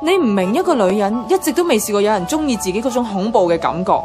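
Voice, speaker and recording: An actress plays an anxious single office woman in a Cantonese radio drama from Hong Kong. Her voice sits a little high, emphatic and plaintive, with a defensive edge. Music plays clearly behind her. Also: animated, fast talker, close to an everyday voice. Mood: defensive, upset. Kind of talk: radio drama dialogue with narration.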